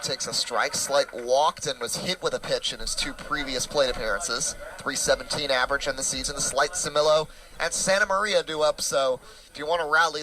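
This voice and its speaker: A young man calling a college baseball game play-by-play, brisk and packed with stats. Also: on the high side, bright, forceful tone, low-quality recording. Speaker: play-by-play announcer.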